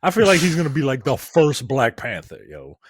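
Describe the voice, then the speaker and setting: A man's voice: mid-range voice, wildly swooping pitch, fairly quick, a little hiss or hum. A man co-hosting a podcast in casual chat, emphatic and swearing, repeating his points to drive them home. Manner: emphatic, repeats himself, profane. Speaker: podcast co-host.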